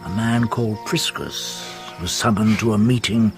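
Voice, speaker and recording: An actor in a radio drama playing a learned older writer, gently philosophical, wry and a little hesitant. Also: male voice, on the low side, normal speed, over music, natural voice. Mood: solemn storytelling. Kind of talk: radio drama acting and storytelling.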